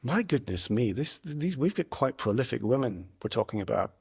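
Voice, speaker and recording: A man's voice: medium pitch, big pitch swings, moderate pace, gravelly, a little mellow, breathy edge. Chatty podcast host man. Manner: chatty, jokey, upbeat. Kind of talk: casual podcast chat. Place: home front room. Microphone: single earpiece, home setup.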